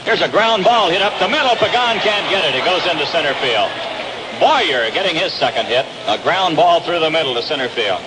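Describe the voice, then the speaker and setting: American guy doing live baseball play by play, really lively pitch swings, noisy 60s radio. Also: brisk. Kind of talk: live radio sports commentary. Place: open-air ballpark broadcast position. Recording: noisy old 1960s radio broadcast.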